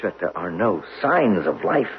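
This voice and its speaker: Bold geologist expedition leader, low animated voice, terse commands, radio mystery drama, muffled old broadcast. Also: male voice, unhurried. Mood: inquisitive. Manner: commanding, giving terse instructions. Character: bold, curious male geologist leading an underground expedition. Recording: dull, noisy old radio broadcast recording.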